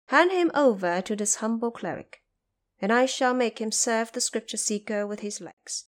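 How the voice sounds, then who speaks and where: A woman voicing a serene, merciful goddess who speaks formally and with calm authority in an audio drama. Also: fairly high pitch, close to an everyday voice. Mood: humble, formal. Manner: formal, measured, assured. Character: serene, merciful female Bodhisattva, authoritative divine being.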